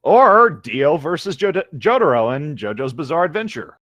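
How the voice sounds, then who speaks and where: Animated man, higher-pitched, lively and close. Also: moderate pace, dry recording. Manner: animated, exclamatory, repeats himself for emphasis. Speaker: co-host reacting to a reading. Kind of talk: casual comedic banter.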